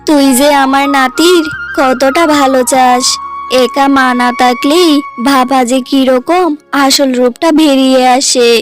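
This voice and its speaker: A woman's voice: high voice, measured pace. A woman voicing a poor, frail old grandmother who scolds and worries over her granddaughter in a cartoon. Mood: bitter scolding. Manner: scolding, weary, protective. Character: poor frail old grandmother, loving, bitter at son-in-law. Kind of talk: cartoon voice acting. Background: music.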